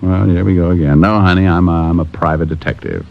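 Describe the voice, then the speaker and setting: A man's voice: low voice, fairly even intonation, fast talker, gravelly. A man playing a glib, wry private detective in an old-time radio drama, both narrating and in dialogue. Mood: breezy, teasing. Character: wisecracking private detective, breezy, easily flustered by flirting. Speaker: lead actor and first-person narrator. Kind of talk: 1940s-50s radio detective acting and narration. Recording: muffled old radio recording.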